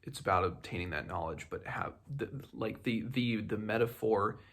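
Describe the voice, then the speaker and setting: A man hosting a book read-along, giving casual commentary in a conversational, halting way as he thinks aloud. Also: lowish voice, fairly bright tone.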